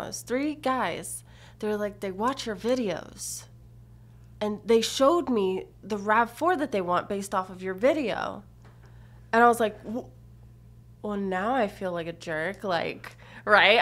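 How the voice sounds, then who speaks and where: Female podcast co-host, blunt and assertive, slightly high voice. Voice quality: low for a woman, assertive. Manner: blunt, animated storyteller. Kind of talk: casual chat.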